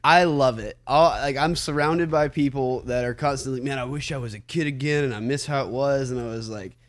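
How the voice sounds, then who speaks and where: A man's voice: mid-range voice, fairly quick. Late-20s musician guy, earnest, chatty, lots of "like" and "um". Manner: earnest, reflective, many fillers. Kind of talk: casual podcast chat.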